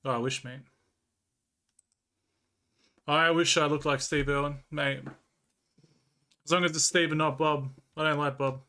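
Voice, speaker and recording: A man's voice: fairly high pitch, fairly even intonation, unhurried, pauses often, sharp tone. An Australian man streaming live, rambling casually as he reads and answers his chat and swears freely.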